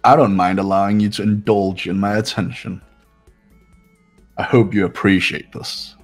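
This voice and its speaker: A man acts out a haughty young male heir from a video game. His voice is low, and he talks down to everyone with measured, pompous superiority. Music plays clearly behind him. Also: fairly even intonation. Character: arrogant, condescending wealthy young male heir. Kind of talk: visual novel game voice-over.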